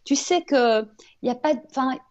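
A woman's voice: high-pitched, normal speed, darkish tone, lo-fi sound. A woman from Belgium chatting as an interview guest in an enthusiastic, emphatic, rambling way about her ideas, in a casual motivational style. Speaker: interview guest, author of a self-help book.

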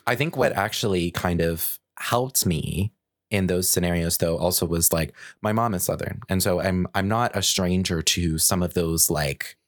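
Male podcast host, very low register, casual and reflective, dry studio-tight sound. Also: normal speed, no room sound. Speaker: podcast host and design researcher. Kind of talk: casual conversational chat.

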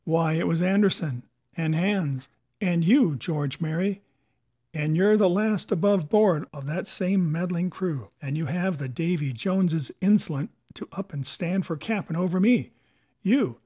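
Guy doing a sly old-time pirate captain for an audiobook, highish voice, sarcastic and defiant, salty sailor talk. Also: lively intonation, measured pace, darkish tone. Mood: indignant, vehement. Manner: sarcastic, rhetorical, rustic sailor's dialect. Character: cunning, defiant male pirate captain, sea cook. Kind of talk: dramatic audiobook character voice.

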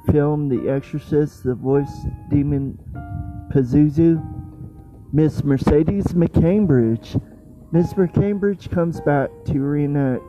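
A man's voice: fairly high pitch, dark tone. A man hosting a podcast, introducing and summarising what is coming up. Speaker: podcast host. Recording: dull lo-fi, faint music behind.

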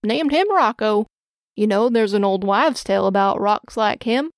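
A woman's voice: medium pitch, lively intonation, moderate pace, no echo, studio quality, natural voice. A woman doing a folksy stranger. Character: friendly tall man with long hair, a shopkeeper type.

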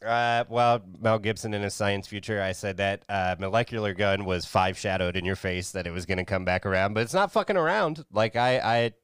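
A man's voice: fairly low pitch, a little fast, hardly any pauses, fairly bright tone, clean tone, dry recording, clean studio sound. A man co-hosting a movie podcast, laid-back, going through his notes with a laugh. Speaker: podcast co-host. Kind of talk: casual movie review chat.

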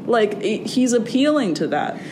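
A young woman, a college student, talking casually as an interview guest in a wry, animated way, with fillers and restarts. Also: moderate pace. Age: young adult. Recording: audible background noise with a low rumble.

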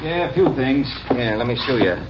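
An actor playing an easygoing small-town sheriff, folksy and brisk, in a 1950s radio drama. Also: male voice, mid-range voice, animated, noisy background, close to an everyday voice. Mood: folksy, matter-of-fact. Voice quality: husky voice. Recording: dull old radio recording.

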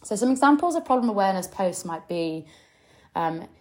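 A woman's voice: medium pitch, animated, brisk. A young adult woman, a business coach hosting her own podcast, teaching in a chatty, direct way with mild swearing. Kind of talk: casual teaching podcast monologue.

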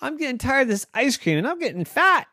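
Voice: sits high, big pitch swings, fast talker, sharp tone, no room sound, clean studio sound, voice pushed up, full character voice. A storyteller voicing a demanding, greedy little girl who talks in a pushy, whiny squeal.

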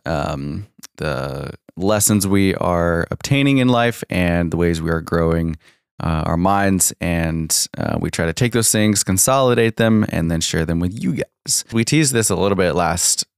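A man's voice: deep voice, clean tone, no echo, clean studio sound. A young man co-hosting a podcast, welcoming listeners and chiming in with short, chipper remarks. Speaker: podcast co-host. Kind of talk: casual chat.

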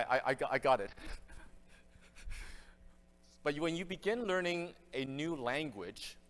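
A man's voice: medium pitch, a bit bright. A male pastor from Canada, preaching an earnest, conversational expository sermon with frequent restarts. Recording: reverberant church hall.

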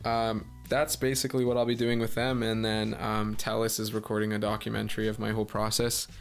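A young man with a breathy, somewhat low voice talks in a loose, chatty way, stringing his stories together with constant "like"s. Soft music plays faintly underneath. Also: completely flat delivery, a little fast. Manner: chatty, filler-heavy storytelling. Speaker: guest musician. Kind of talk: casual podcast chat.

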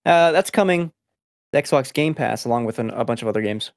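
A man who grew up speaking Spanish first, hosting a casual podcast and rattling off news items in quick succession. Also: mid-range voice, lively intonation, dry recording. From United States. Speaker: podcast host.

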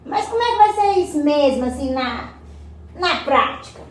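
A woman's voice: high voice, animated, moderate pace, a little hiss or hum. A woman playing a folksy, outspoken community woman in a radio soap, speaking emphatically and colloquially. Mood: approving, insistent. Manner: colloquial, emphatic, seeking agreement with tag questions. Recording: roomy, echoing voice recording.

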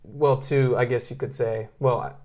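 A man interviewing a guest in a casual, friendly way, full of fillers and quick affirmations. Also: medium pitch, steady tone, a little mellow.

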